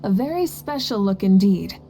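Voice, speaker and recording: A woman's voice: medium pitch, lively intonation, normal speed, mellow, lightly acted. A woman playing a poised, confident leader in a video game, composed and wryly amused. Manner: composed, wry. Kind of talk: video game character dialogue.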